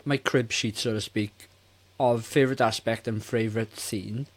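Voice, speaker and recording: A man's voice: fairly low pitch, steady tone, normal speed, a little hiss or hum. A man in his forties co-hosting a casual film review podcast, speaking in a blunt, exasperated way. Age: 40s. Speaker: podcast co-host.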